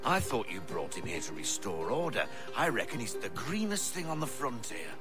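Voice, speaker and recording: A man's voice: fairly low pitch, wildly swooping pitch, normal speed. An actor playing a cantankerous old sailor in a video game, theatrical and salty, full of seafaring talk and devotion to his ship. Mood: indignant. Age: older. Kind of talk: video game cutscene acting. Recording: music behind the voice. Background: music.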